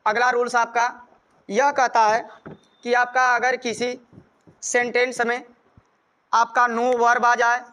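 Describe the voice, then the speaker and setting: High-pitched Indian man teaching. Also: monotone, slow pace, pauses often, bright, forceful tone, clean tone. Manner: repetitive, step-by-step explaining. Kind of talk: grammar lesson, explaining rules with examples.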